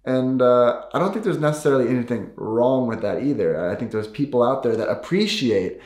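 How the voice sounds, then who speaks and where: A man's voice: medium pitch, lively intonation, fairly quick, a little mellow. A man with an American accent talking casually to his viewers as a vlogger. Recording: roomy echo.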